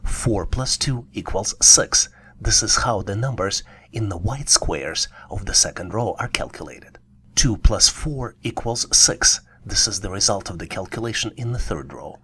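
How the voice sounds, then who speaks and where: A man's voice: lowish voice, a bit bright, husky. A man narrating a scripted puzzle tutorial as an instructor, encouraging and speaking directly to the listener. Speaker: online test-prep instructor.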